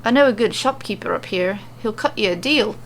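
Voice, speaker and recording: A woman's voice: mid-range voice, animated, brisk, a little hiss or hum, close to an everyday voice, poor audio. A woman voicing a jolly, boastful Irish woman warrior in a fantasy story, theatrical and friendly with a dour streak.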